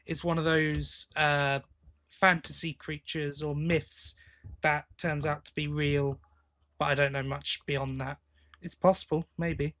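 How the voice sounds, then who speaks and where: A man's voice: fairly high pitch, level tone, measured pace, a bit bright. A man co-hosting a podcast in casual chat, giving his opinions informally and bluntly. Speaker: podcast co-host.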